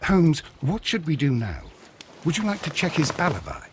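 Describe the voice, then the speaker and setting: An actor playing a detective's cautious, earnest Victorian companion in a video game, questioning. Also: male voice, a little high, sing-song, moderate pace, slight background noise. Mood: inquiring. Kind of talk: video game cutscene acting.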